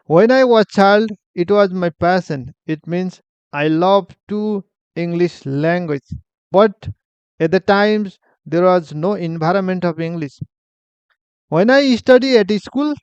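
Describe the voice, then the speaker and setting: A man in his forties from Nepal, with a Nepali accent, telling his own life story in a halting, plain way with many fillers. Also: sits high, darkish tone, clean tone, dry recording, muffled recording. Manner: halting, many fillers and restarts, simple phrasing. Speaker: vlogger. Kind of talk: personal story, casual monologue.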